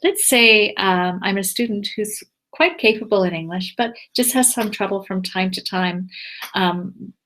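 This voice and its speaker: A woman's voice: mid-range voice, measured pace, pushed and bright. A woman teacher walking viewers through a screen-shared tutorial step by step, patient and explanatory, with frequent ums. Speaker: teacher giving a tech tutorial. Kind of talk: screencast walkthrough.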